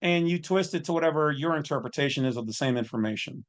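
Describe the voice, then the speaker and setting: A man's voice: a little high, fast talker. A man delivering informal, off-the-cuff commentary as a channel host, with a colloquial, opinionated way of talking.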